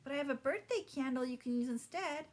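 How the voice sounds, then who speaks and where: Storybook youngest sister, high and childlike, innocent and curious woman reader. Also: fairly quick, a little mellow, close to an everyday voice. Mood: brave, apologetic. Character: innocent, brave little girl, youngest sister.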